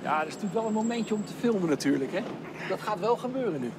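A middle-aged male presenter interviewing a guest on location, excitable and exclaiming, laughing often. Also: sits high, quick pace, background noise. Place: outdoors at a racetrack, then inside a sports car.

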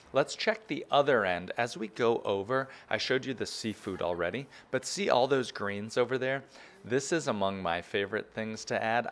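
A man's voice: on the low side, fairly bright tone. An enthusiastic, chatty man in his thirties or forties, giving a casual guided food review as a vlogger.

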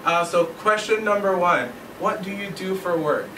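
A man's voice: sits high, moderate pace. A teenage young man casually chatting as a student vlogger and interviewer. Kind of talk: casual vlog chat and interview. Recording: roomy, noisy, distant camera mic.

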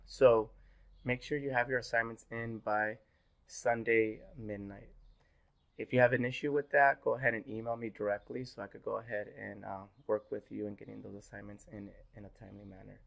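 Man, 30s, instructor, slightly froggy sick voice, relaxed filler-heavy delivery, webcam lecture. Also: lowish voice, brisk, vocal fry. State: a little sick and hoarse. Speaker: university instructor. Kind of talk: pre-recorded online lecture. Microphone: webcam in corner of screen recording.

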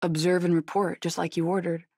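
A woman's voice: on the low side, level tone, fairly quick, mellow, slightly rough, dry recording, clean studio sound. An actress playing a tough, guarded young female agent in a horror video game, with a terse, sardonic manner. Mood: subdued, wry.

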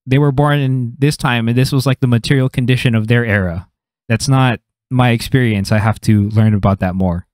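A man's voice: mid-range voice, fairly even intonation, fairly quick, darkish tone, no room sound. A young man with a slight Filipino accent, hosting a casual podcast chat from a small home room into a studio mic, reflective and loose with lots of fillers. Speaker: podcast host. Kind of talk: casual conversation. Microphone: studio mic on stand.

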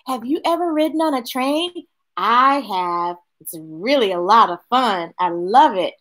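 A middle-aged woman reading a picture book aloud to children, expressive, with chatty asides to her young listeners. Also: fairly high pitch. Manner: expressive, drawn-out delivery addressing young listeners. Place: small indoor room with bookshelves.

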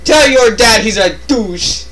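A man's voice: high voice, expressive, slow pace, a bit bright. A man giving casual, joking game commentary in an exasperated, sarcastic, complaining way. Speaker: let's play co-commentator.

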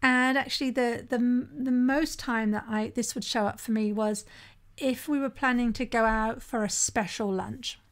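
Chatty woman with a bright voice. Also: fairly high pitch, fairly even intonation, normal speed, few pauses. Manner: conversational, often asks "right?", occasional restarts. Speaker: podcast host and sober-living coach. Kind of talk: solo podcast monologue.

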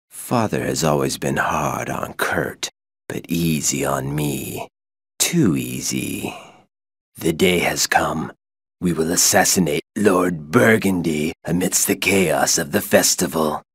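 A man playing a sly, arrogant young noble villain in a video game, husky and sneering. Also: rumbling low, slow pace, fairly bright tone, dry recording, clean studio sound, exaggerated voice. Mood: sly plotting.

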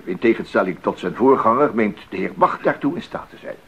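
A man playing a formal, deliberate rector chairing a council in a radio play, speaking in a measured way. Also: fairly low pitch, animated, fairly bright tone, breathy edge, lo-fi sound, heavily acted. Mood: measured, official. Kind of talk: 1980s Dutch radio play acting.

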